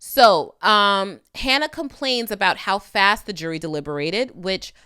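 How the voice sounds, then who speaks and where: Woman, mid-range pitch, crisp resonant voice, conversational legal commentary, emphatic, opinionated, direct address. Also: unhurried, bright, forceful tone. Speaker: legal commentator hosting a video channel.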